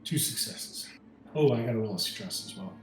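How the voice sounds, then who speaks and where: A man's voice: mid-range voice, expressive, normal speed, soft background music. A man taking part in a tabletop roleplaying game with casual table talk, recorded in an echoey room.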